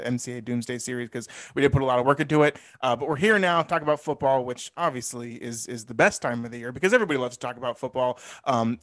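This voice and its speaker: A man's voice: mid-range voice, fairly bright tone. A man co-hosting a sports podcast, talking loosely and correcting himself as he goes. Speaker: podcast co-host. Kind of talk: casual sports podcast chat.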